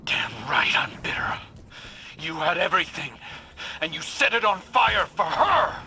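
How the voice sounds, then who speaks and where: A man's voice: sits high, big pitch swings, measured pace, creaky, exaggerated voice. An actor playing a bitter, righteous, wounded man in a video game, accusing and intense. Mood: bitter, angry. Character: bitter, indignant male adversary, righteous and wounded. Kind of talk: dramatic video game acting. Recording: music under the voice.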